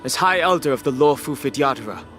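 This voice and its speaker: An actor playing a stern, righteous spear-wielding wanderer in a video game, with a grave, formal, measured delivery. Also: male voice, mid-range voice, animated, fairly bright tone, lightly acted. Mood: calm, determined. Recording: game music behind the voice.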